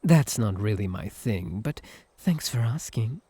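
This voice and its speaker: British man as teasing yandere incubus: unhurried, sly, sarcastic, swooping delivery, close dry sound. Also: lowish voice, expressive, dark tone, husky, dry recording. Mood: dry, mocking.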